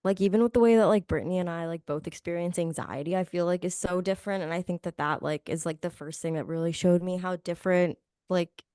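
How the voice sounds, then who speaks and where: A woman's voice: fairly low pitch, fast talker, hardly any pauses, dark tone, clear, resonant tone, no echo, clean studio sound. A woman in her early twenties talking casually on a podcast in a tentative, agreeable, filler-heavy way. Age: early 20s.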